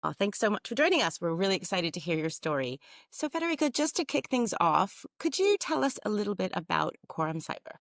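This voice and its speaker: A woman's voice: mid-range voice, sing-song, fairly quick, creaky, no room sound. Female host, upbeat and enthusiastic, very varied intonation, dry close sound, conversational questions. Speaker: interviewer and host. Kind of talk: friendly interview questions.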